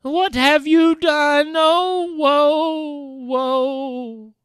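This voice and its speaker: A man reading an audiobook voices a young water-spirit wife, pleading and lamenting in a raised, high voice. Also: slow, fairly bright tone, no room sound, clean studio sound, exaggerated voice. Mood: wailing.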